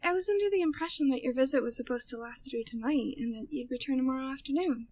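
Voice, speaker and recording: A woman's voice: high-pitched, fast talker, darkish tone. A woman playing a flirty, devoted, gossipy maid at a manor in an ASMR audio drama, speaking affectionately and teasingly in formal period wording. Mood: welcoming.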